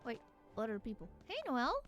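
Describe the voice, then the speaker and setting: A woman's voice: on the high side, sing-song, fairly quick, a bit bright, soft background music. A woman streaming a video game, chatting playfully and casually as she plays. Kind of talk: casual gameplay commentary.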